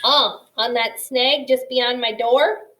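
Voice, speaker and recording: A brash old porcupine in a storybook reading, as a woman puts him on, scoffing at danger and teasing in slangy talk. The voice sits fairly high and takes its time. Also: fairly even intonation, takes it slowly, fairly bright tone. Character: gruff old male porcupine, blunt and teasing.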